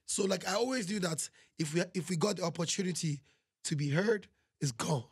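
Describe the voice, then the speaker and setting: High husky Nigerian man telling stories. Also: a little fast, no echo, clean studio sound. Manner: animated storytelling, keeps saying "you know what I'm saying". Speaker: guest musician. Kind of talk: casual interview chat.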